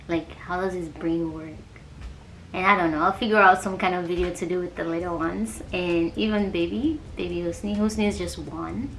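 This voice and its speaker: A woman's voice: fairly low pitch, moderate pace. A woman in her twenties chatting casually on her vlog, rambling and giggly, with lots of "like". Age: 20s. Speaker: vlogger. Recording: roomy with background noise.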